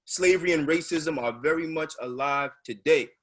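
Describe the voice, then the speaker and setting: A man's voice: a little high, moderate pace, fairly bright tone. A man playing a fervent, streetwise activist in a stage reading, speaking in an emphatic, oratorical way. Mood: impassioned. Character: fervent streetwise male activist preaching to subway riders. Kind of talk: readers theatre stage monologue.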